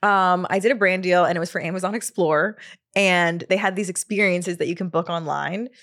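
A woman's voice: lowish voice, brisk, a bit bright. A woman, hosting her podcast in a casual solo chat, laughing often and trailing off with fillers. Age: 30s. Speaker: podcast host.

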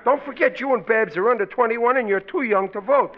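High-pitched blustering American family man. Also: a little fast. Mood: indignant, stubborn. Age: middle-aged. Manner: blustering, emphatic, uneducated diction. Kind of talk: 1940s American radio sitcom acting. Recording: dull, noisy old radio recording.

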